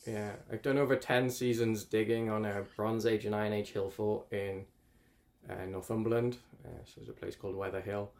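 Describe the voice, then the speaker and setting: Relaxed, rambling man. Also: fairly low pitch, normal speed. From northeast England. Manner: rambling, self-correcting, relaxed. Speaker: climate researcher guest. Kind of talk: casual interview chat.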